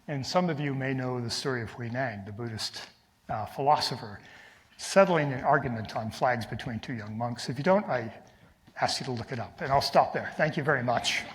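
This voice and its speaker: A man's voice: medium pitch, moderate pace, a bit bright. A middle-aged man giving an academic research talk in an enthusiastic, reflective manner. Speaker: lecturer. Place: lecture hall. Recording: reverberant lecture hall.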